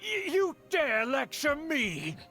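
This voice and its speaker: A haughty, scheming aristocrat, a middle-aged uncle with a high voice that swings widely. He declaims with pompous, theatrical outrage in video game style, over background music. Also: huge pitch swings, slow pace, pushed and bright, exaggerated voice. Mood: outraged. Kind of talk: dramatic video game voice acting.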